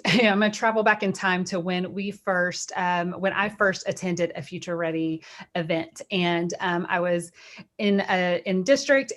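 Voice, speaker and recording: A middle-aged woman with a Texan accent co-presenting a webinar in a chatty way, full of fillers and restarts. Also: mid-range voice. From Texas.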